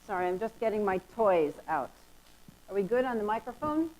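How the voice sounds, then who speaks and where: Loud woman talking at a conference, chatty and upbeat, jokes about herself. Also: medium pitch, fairly quick, a little hiss or hum, low-quality recording. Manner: lively, chatty, self-deprecating. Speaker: conference speaker. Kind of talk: conference keynote talk. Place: conference hall.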